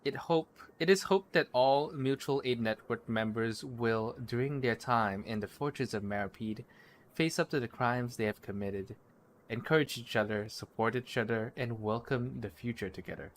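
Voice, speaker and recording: A man's voice: mid-range voice, normal speed, slight background noise. A man livestreaming a game, commenting casually and wryly and reading on-screen text aloud. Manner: casual, wry.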